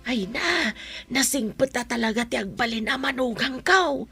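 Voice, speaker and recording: A woman playing a humble, grateful mother of a grown son in an audio drama, with a husky, creaky voice. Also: fairly high pitch, expressive, moderate pace, pushed and bright, faint music behind, heavily acted. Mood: gushing, pleased. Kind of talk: melodramatic Ilocano radio drama acting.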